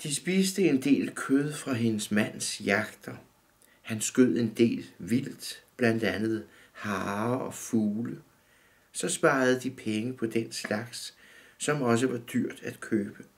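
A man in his fifties calmly reading his own short story aloud in a dim room, measured and steady. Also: mid-range voice. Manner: calm, measured reading, often looking down at the text. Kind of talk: literary reading.

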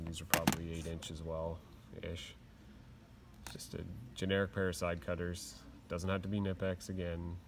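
A man's voice: low-pitched, background noise. A man who works as an aircraft mechanic, chatting casually and rambling a little as he shows his tools at a workshop bench. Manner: casual, rambling, plain-spoken with fillers. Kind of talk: casual tool show-and-tell. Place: workshop at a steel workbench.

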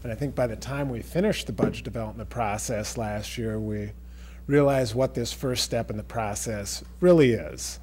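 Middle-aged man, administrator presenting at a desk mic; steady and explanatory, faint hum. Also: mid-range voice, normal speed. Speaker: district administrator. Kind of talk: budget presentation. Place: board meeting room.

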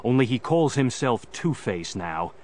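An actor playing a stoic, determined masked hero, grave and deliberate, in an audio drama. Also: male voice, medium pitch, sing-song, slight background noise. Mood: resolute.

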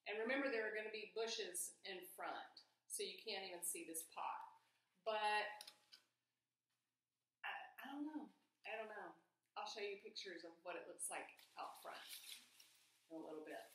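A woman's voice: mid-range voice, moderate pace, pauses often, a bit bright, a little hiss or hum. A woman, chatting in a bubbly, casual way as she vlogs about home and garden ideas to the camera. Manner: bubbly, chatty, thinks aloud. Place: indoors in a small room, and on a front porch. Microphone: camera or webcam at close range. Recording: very echoey room.

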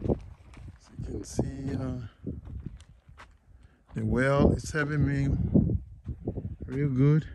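A man giving a casual outdoor walkthrough of his farm plot, talking haltingly with many fillers and pleading with viewers to subscribe. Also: mid-range voice, level tone, heavy background noise. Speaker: vlogger giving a farm tour.

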